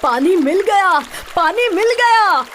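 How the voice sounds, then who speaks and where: A woman voicing an earnest, determined young village brother in an animated children's story. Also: very high-pitched, slow, a little hiss or hum, heavily acted. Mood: excited.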